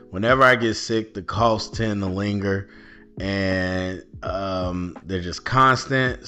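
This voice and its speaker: Male podcast host, lowish voice with a forceful edge, rambling and casual, a little worn after a cold, soft music under him. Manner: loose rambling, many ums and restarts. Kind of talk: casual solo podcast talk. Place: at home indoors.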